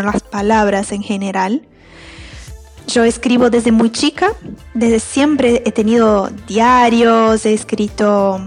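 A woman from Brazil with a Brazilian Portuguese accent, an author talking about her writing in a slow, thoughtful way with frequent pauses and fillers. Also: on the high side, a little mellow. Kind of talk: interview, self-introduction and reading.

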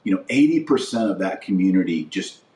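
A man delivering a political and religious monologue as a podcast host, emphatic and deliberate, repeating phrases for weight. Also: low-pitched, lively intonation, dark tone. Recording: roomy echo, distant microphone.

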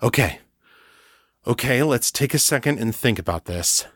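A man voicing a steady, patient man in his late thirties who talks calmly and reasons things through. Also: mid-range voice, moderate pace, slightly rough, no echo, clean studio sound. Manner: calm, reasoning.

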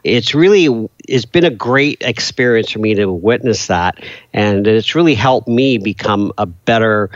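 Lower-voiced middle-aged guy rambling through an interview, lots of uhs, dull dry audio. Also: lively intonation, unhurried, dry recording. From England, raised in Canada. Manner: rambling, many fillers and restarts. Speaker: guest, business coach. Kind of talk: casual interview conversation.

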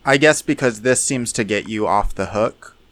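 A man in an improvised comic discussion, talking in a skeptical, halting, argumentative way. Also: lowish voice, a bit bright, a little hiss or hum.

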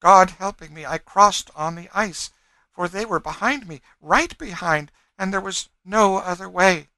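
Man voicing a desperate young runaway mother, high-pitched. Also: measured pace, pushed and bright, no room sound, full character voice. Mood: fervent, breathless. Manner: pleading, earnest, dialectal grammar.

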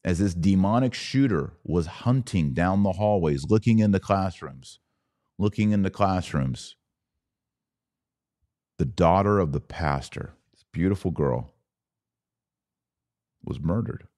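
A low-pitched male commentator delivers an emphatic solo monologue. His intonation rises and falls widely, with pointed rhetorical questions and weighty pauses. The sound is close and dry. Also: expressive, mellow, no room sound. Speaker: podcast host and commentator.

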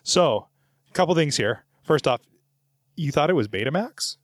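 A man's voice: on the high side, expressive, moderate pace, no room sound, studio quality. A middle-aged man hosting a podcast, chatting casually and reading out listener comments in a rambling, self-correcting way. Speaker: podcast host.

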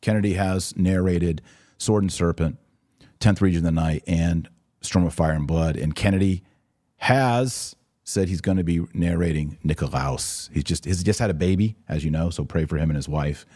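Deep-voiced, bright man, emphatic commentator. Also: normal speed, no room sound, studio quality. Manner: emphatic, didactic, conversational. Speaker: podcast host and commentator. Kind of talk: live religious commentary, answering listener questions.

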